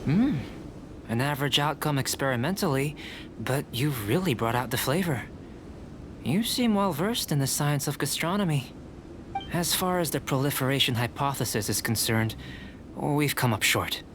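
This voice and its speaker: A man voicing a polite, composed young male alchemist who speaks in a measured, gently teasing way, heard over game music. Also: medium pitch, animated, background noise, natural voice. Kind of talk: game voice acting. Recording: studio game audio with music behind. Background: clear music.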